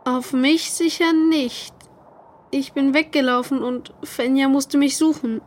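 A woman playing a weak, apologetic young boy in a children's audio drama, speaking slowly and earnestly. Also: high-pitched, fairly even intonation. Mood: remorseful.

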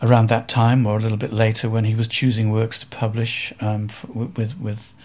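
A man around seventy with a Welsh accent, a photographer telling stories in an interview in a reflective, halting way with many pauses and fillers. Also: on the low side, little pitch movement, darkish tone. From Wales. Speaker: photographer guest.

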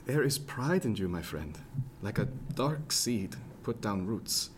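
An actor playing an anxious, frank ship's doctor of the 1710s in a radio drama, with formal old-fashioned phrasing and an earnest manner. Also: male voice, fairly low pitch, very animated, a little slow, slight background noise. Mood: tender, earnest. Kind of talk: serial radio drama acting, period seafaring. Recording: music behind the voice, plucked guitar.

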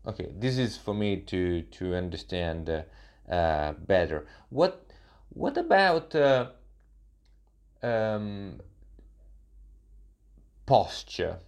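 A man interviewing someone, asking questions in a hesitant, halting way with many fillers. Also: fairly low pitch, big pitch swings, darkish tone.

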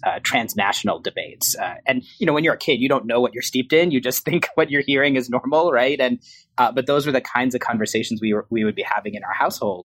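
A man in his late thirties talks in a low, husky voice. He reflects on his life as a podcast guest, pausing often with fillers and restarts. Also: a bit bright, poor audio. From New York, raised in Bangkok. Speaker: guest, law professor. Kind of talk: podcast interview.

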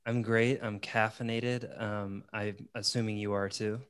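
A man's voice: lowish voice, little pitch movement, measured pace, a bit bright, smooth. A man hosting a podcast, easygoing and joking with his guest. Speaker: podcast host.

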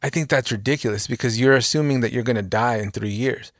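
A man's voice: medium pitch, fast talker, no room sound, clean studio sound. A man in his thirties giving earnest, motivational advice in a casual podcast conversation. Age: 30s.

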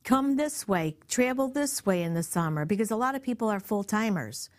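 A woman from East Utica, New York, with an upstate New York accent, chatting casually and telling animated stories. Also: mid-range voice, moderate pace. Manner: animated, playful, mimics other people. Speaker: guest, mother of the host. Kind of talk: casual chat, storytelling.

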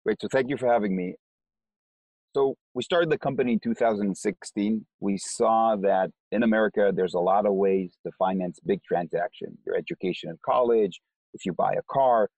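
A man's voice: on the low side, normal speed, creaky, a little mellow, dry recording, poor audio. A man with an Israeli accent, a company co-founder, explaining his business in an interview and often repeating words as he starts sentences.

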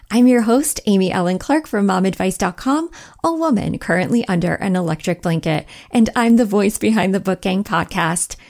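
Middle-aged woman, book podcast host with a slightly gravelly voice, enthusiastic and gushing. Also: mid-range voice, a little mellow. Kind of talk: scripted podcast intro, then casual interview chat. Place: home, Midwest US.

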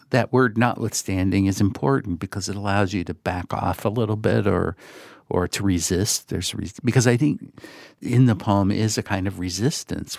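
A man with a very low voice and plenty of vocal fry discusses literature in a reflective way. He is thoughtful and a little hesitant, reworking his phrases as he goes. The sound is very dry and close. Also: a little mellow, dry recording. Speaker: magazine editor and podcast host.

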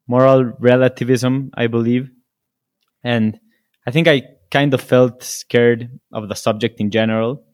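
Spanish-speaking guy hosting a podcast in english, slow and halting, kinda starstruck. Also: medium pitch, a little mellow. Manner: slow, hesitant, admiring questions. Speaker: podcast host. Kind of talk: podcast interview.

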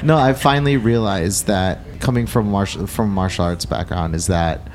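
A man's voice: fairly low pitch, moderate pace. A man chef who grew up between the Philippines and Detroit, talking in a rambling, self-deprecating way in casual chat. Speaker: chef guest.

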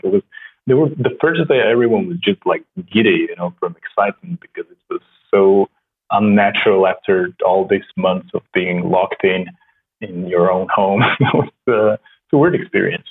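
Man, Slovenian accent, native Slovenian speaker, low husky voice, halting restarts, phone-like video call audio. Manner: soft-spoken, halting with restarts. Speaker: startup co-founder and CTO. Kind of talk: casual conversation. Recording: tinny phone-like line.

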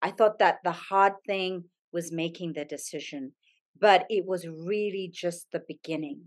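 A middle-aged woman from Zambia speaks in a fairly low voice. She is a podcast guest who talks earnestly and thoughtfully, often restarting her sentences as she works through a hard subject. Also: unhurried. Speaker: podcast guest, yoga studio owner. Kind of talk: reflective conversational interview.

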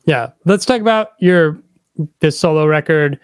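A man's voice: on the high side, measured pace, clear, resonant tone, no room sound, studio quality. A man hosting a podcast, asking questions in a casual, conversational interview style.

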